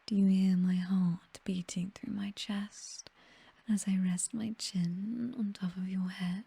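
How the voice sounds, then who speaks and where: Casting: woman to play possessive vampire noblewoman, British accent, soft dark whisper, unhurried, tender yet commanding, for close-mic ASMR roleplay. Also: mid-range voice, level tone, dry recording, full character voice. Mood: tender, soothing. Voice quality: breathy, whispery. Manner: slow, tender, teasing, possessive. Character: possessive, doting vampire noblewoman, commanding mistress to her butler. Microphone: close microphone, dry.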